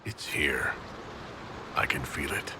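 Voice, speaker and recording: A man's voice: very deep voice, lively intonation, slow pace, long reverb tail, noisy background, full character voice. A man playing a determined fantasy leader in a video game, speaking earnestly and resolutely. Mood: hushed, alert. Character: determined male fantasy hero, leader of an army.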